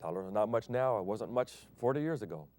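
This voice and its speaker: A man preaching a broadcast sermon as pastor, swinging between loud emphatic declarations and soft hushed phrases. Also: fairly low pitch, animated, fast. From Thailand.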